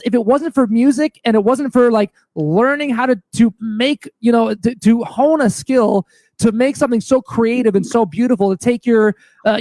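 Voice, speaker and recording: Man, extremely high voice, dry close sound, gushing, slangy, casual host. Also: a little mellow, no room sound. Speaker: show host. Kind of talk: casual chat.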